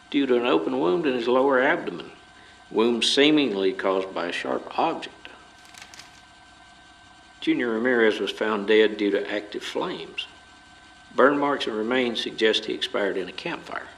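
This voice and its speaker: A man's voice: fairly low pitch, a bit bright. A man playing a stern, formal police officer questioning a suspect in an audio drama. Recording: clearly noisy background.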